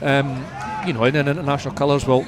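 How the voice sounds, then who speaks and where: A man giving conversational sports punditry as a radio guest, measured and repeating words for emphasis. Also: medium pitch, flat delivery, music in the background, crowd noise.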